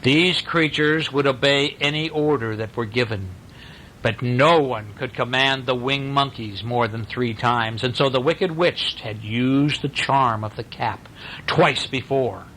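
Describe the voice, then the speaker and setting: A man tells a bedtime story in an informal, animated manner. His mid-pitched voice is bright and forceful, over a faint rumbling noise. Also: a little slow, poor audio. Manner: animated retelling with informal asides to a listener.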